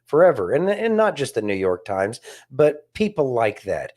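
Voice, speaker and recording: A man's voice: medium pitch, big pitch swings, moderate pace, darkish tone. A man co-hosting a casual political discussion, speaking emphatically and repeating phrases for stress. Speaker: podcast co-host.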